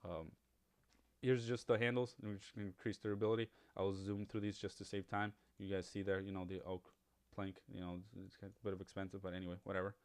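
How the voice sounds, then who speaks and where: A fairly low-voiced man whose first language is Spanish, chatting loosely through gameplay commentary. He rambles, circles back, and fills gaps with ums and you-knows as he weighs options out loud. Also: flat delivery, fairly quick, clean tone. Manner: rambling, many fillers and restarts. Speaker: gaming YouTuber. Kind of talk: casual game commentary.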